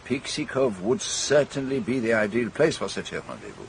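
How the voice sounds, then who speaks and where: A shrewd detective in a radio mystery play. He thinks aloud with precise, measured phrasing and a very mobile intonation, over faint background noise. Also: medium pitch, huge pitch swings, creaky. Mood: musing, deliberate. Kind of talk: radio mystery drama acting.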